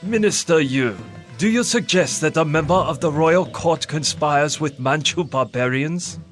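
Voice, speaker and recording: An actor playing a sceptical, haughty rival minister of an old Korean court in a game cutscene, with a formal, pointed, challenging manner. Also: male voice, fairly high pitch, a little slow, natural voice. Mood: accusing, threatening. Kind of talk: scripted historical game cutscene acting. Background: orchestral game music.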